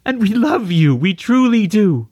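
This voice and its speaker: Man voicing a high, raspy loving mother. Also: big pitch swings, measured pace, a little mellow, dry recording, clean studio sound, full character voice. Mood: tearful, loving. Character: tearful, apologetic, loving mother. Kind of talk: children's audiobook character voice.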